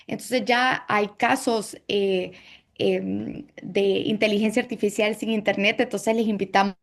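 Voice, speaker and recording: A woman's voice: medium pitch, fairly even intonation, measured pace, vocal fry. A woman speaking as a book co-author on an online panel, explaining examples with occasional fillers. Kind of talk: panel answer.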